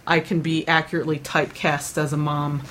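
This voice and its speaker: A woman's voice: deep voice, flat delivery, a little fast, fairly bright tone, a little hiss or hum. A young woman rambling through casual stories, with lots of fillers, restarts and self-deprecating asides. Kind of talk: casual chat.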